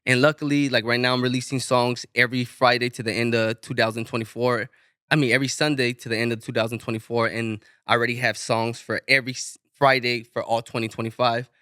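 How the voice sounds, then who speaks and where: Podcast guest: man, bright crisp tone, dry studio sound, loose and casual. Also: mid-range voice, quick pace, no room sound. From Inglewood, California. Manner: relaxed, loose, swears freely, many restarts. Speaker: rapper guest.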